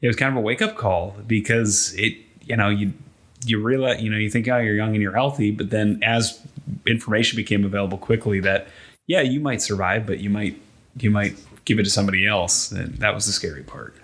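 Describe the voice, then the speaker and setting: A man's voice: lowish voice, fairly quick, a bit bright. A man co-hosting a podcast in a casual conversational style. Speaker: podcast co-host.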